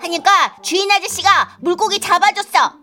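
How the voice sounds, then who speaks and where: A woman voicing an excited, innocent little granddaughter in an acted radio skit, chattering guilelessly. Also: very high voice, moderate pace. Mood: gleeful bragging. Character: chirpy innocent little girl, beloved granddaughter who blurts things out. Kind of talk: acted radio letter dramatization.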